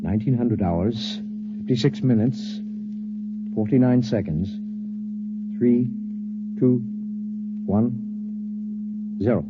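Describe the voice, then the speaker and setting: An actor playing an uneasy professor in a 1950s radio drama, terse and grim, on a muffled vintage recording. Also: male voice, medium pitch, sing-song, slow pace, long pauses, over loud music. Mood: clipped, tense. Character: uneasy male professor assisting a fateful experiment. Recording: muffled vintage radio, loud ringing electronic tones.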